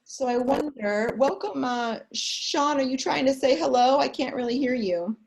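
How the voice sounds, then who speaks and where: A woman's voice: high-pitched, moderate pace, gravelly, low-quality recording. Chatty woman hosting an online webinar. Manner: chatty, animated storytelling with lots of fillers. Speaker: therapist leading a webinar. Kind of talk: webinar talk. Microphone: video call.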